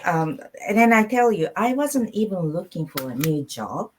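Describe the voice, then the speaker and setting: Low-pitched middle-aged woman from Japan. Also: big pitch swings, normal speed, a little hiss or hum. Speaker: interview guest. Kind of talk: personal storytelling in an online interview.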